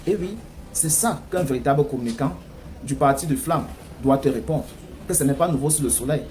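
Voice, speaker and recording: A man's voice: mid-range voice, brisk, darkish tone. A man with a Cameroonian French accent giving political commentary in an emphatic way, full of rhetorical questioning. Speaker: news commentator. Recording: clear background noise.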